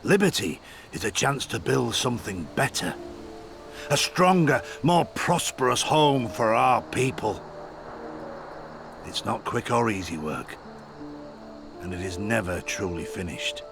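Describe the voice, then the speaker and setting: An actor playing an impassioned rebel leader in a fantasy game, speaking grandly and deliberately like a practised orator. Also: male voice, on the low side, lively intonation, vocal fry, a bit bright, faint music behind, exaggerated voice. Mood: grave, reflective. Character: impassioned male rebel leader, rousing orator, world-weary mentor to soldiers. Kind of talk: theatrical fantasy game acting.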